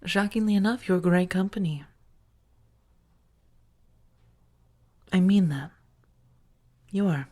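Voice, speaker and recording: A woman playing a protective, affectionate female vampire roommate in a soft-spoken ASMR roleplay, breathy and often near a whisper, with halting, earnest delivery. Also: fairly low pitch, lightly acted. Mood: tender, pleading.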